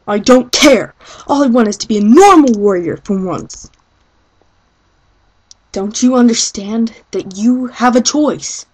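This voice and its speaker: A woman acts a young tomcat warrior for an animation audition. He is exasperated, pleading forcefully in a husky voice. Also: on the high side, unhurried, long pauses, low-quality recording. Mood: frustrated.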